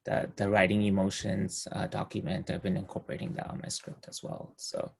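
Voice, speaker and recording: Guy on a zoom call, low husky gravelly voice, kinda flat, lots of ums. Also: a little fast. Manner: hesitant, many fillers, soft trailing phrases. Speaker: screenwriter sharing project update. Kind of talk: casual meeting chat. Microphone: video call.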